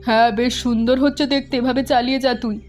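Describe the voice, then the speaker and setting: A woman plays a village mother in a cartoon story, fond and practical. Her voice sits high, and she talks in a gentle, lightly scolding way, with faint music behind. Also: steady tone, fast, lightly acted. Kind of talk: cartoon voice acting.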